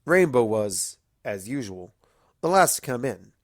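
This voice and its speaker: A storyteller reads scripted fiction narration expressively. The pitch moves in a lively way, and the sound is dry and close. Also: measured pace, dry recording.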